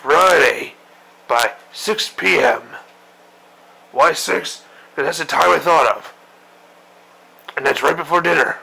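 Game parody: man playing a cranky old admiral, gravelly, bright and cutting, foul-mouthed griping. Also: on the high side, takes it slowly, pauses often, exaggerated voice, low-quality recording. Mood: ranting. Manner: profane, griping rant. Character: grumpy old male admiral commander, crude and impatient. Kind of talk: comedic parody monologue.

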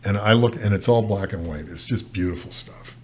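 A Canadian man in his sixties speaks with a very low voice into a desk mic in a studio. He rambles, restarts sentences and fills gaps with "uh". Faint hum sits under the recording. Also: fairly quick, a little mellow. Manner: rambling, frequent restarts and fillers. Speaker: guest photojournalist. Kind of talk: casual interview talk. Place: studio set with brick wall. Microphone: desk mic on stand.

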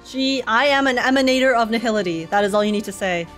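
Excitable, higher-pitched young woman streamer. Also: fast talker, faint music behind. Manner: excitable, playful, slangy. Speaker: streamer reacting to a game. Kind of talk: casual livestream reaction commentary.

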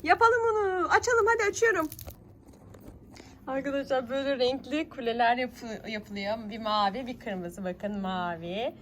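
A woman's voice: on the high side, expressive, normal speed, fairly bright tone, slight background noise. A woman hosting a children's play vlog as a mother, coaxing the kids and talking to viewers with exclamations and many questions. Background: music.

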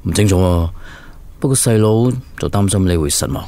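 A man's voice: sits low, fairly quick, mellow, no room sound. An actor playing a considerate, persuasive adult son and father in a radio drama. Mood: casual, gentle. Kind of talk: Cantonese radio drama acting.

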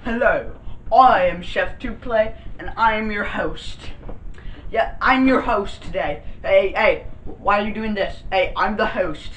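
A man's voice: sits high, measured pace, over music. A teenage boy playing a silly, pompous celebrity chef with a put-on fake accent, giggling at his own jokes. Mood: boastful. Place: bedroom with gaming desk. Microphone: far camera. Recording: echoey room from far off.

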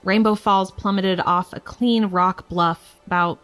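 A woman's voice: mid-range voice, fairly even intonation, measured pace, fairly bright tone, faint music behind, lightly acted. An actress playing a defiant, playful young woman telling her story in a frank, wry, casual way for an audio drama. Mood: defiant, excited.

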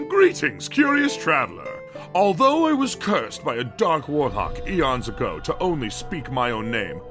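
A man voicing an eccentric, obsessive old gnome who narrates a cartoon comic dub in a grandiose, dramatic way. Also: fairly high pitch. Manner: grandiose, dramatic, storyteller phrasing.

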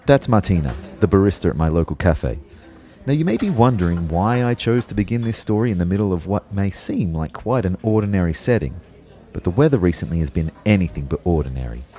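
A man's voice: sits low, lively intonation, brisk, dark tone. A man playing a wry young personal trainer who narrates his own life in an audio drama.

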